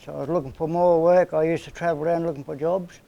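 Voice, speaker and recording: Gravelly older Australian man yarning. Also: fairly high pitch, steady tone, quick pace, warm tone, muffled recording. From northern New South Wales, Australia. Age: 70s. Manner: plain-spoken, rambling storyteller with everyday slang. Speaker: interviewee, community elder. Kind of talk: storytelling in casual conversation.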